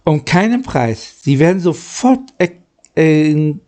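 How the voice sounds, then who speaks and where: Man reading as an earnest, slow-spoken desert guide. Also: mid-range voice, animated, mellow. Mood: earnest warning. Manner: formal, explanatory, halting. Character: polite, earnest desert guide giving grave warnings.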